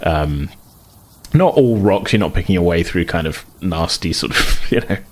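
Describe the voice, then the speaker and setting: A man improvising narration as game master of a tabletop role-playing game, halting and rephrasing as he describes. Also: low voice, animated.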